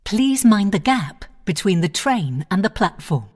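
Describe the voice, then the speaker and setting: Woman, onboard train announcer; slow, even delivery with a lively rise and fall, station-by-station phrasing. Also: medium pitch. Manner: measured, clipped station-by-station phrasing.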